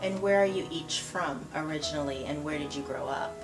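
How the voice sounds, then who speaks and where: A woman with a fairly low voice asks short, direct questions. Music plays behind her. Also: brisk, poor audio. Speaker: interviewer. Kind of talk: reading interview questions.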